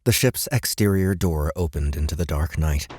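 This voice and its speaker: A man's voice: very deep voice, normal speed, noisy background. A man narrating scripted fiction as an audiobook narrator.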